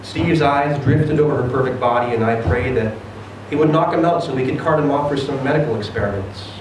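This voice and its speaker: A man giving an expressive, theatrical reading of a first-person short story. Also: mid-range voice, fairly quick. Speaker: reader of a short story at a live event. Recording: very echoey room, distant mic, noisy.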